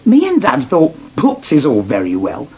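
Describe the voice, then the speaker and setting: Cheeky husky-voiced schemer. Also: male voice, fairly high pitch, huge pitch swings, moderate pace, mellow, full character voice. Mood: wily, persuasive. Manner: teasing, sly, needling. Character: cheeky scheming rural farm lad, teasing and wily. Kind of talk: British radio soap acting.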